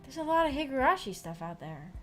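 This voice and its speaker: A woman with a fairly high voice hosts a casual live stream. She rambles and thinks aloud with a giggly manner as she talks to viewers. Soft music plays behind her. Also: very animated, fast. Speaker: streamer hosting a visual novel book club. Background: background music.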